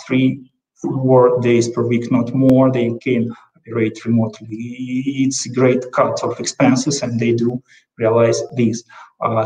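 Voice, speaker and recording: A man talks through a video-call panel in a flat, halting way, stumbling over repeated words and fillers. His voice sounds roomy, as if he sits well back from the microphone. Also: mid-range voice, distant mic, muffled recording. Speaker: corporate counsel.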